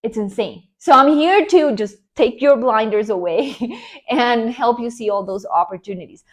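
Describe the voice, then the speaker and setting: A young Colombian woman with a Colombian Spanish accent, Spanish her first language, speaks to camera as an online career coach. Her voice sits fairly high, and she talks in an earnest, upbeat way, leaning on emphatic promises to push the listener forward. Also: expressive, moderate pace. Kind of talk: motivational talk to camera.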